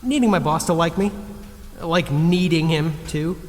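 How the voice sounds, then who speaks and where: A man's voice: a little high, a little slow, a little mellow, natural voice. A man playing an insecure young slacker soldier in an audio drama, wry and hesitant, sometimes tripping over his words. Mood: sheepish. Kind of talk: audio drama character acting. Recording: long reverb, faint background noise.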